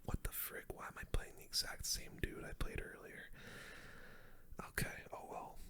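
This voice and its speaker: A person whispering casual ASMR gameplay commentary, breathy and unvoiced, full of gamer slang while talking through each move. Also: moderate pace. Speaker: game streamer.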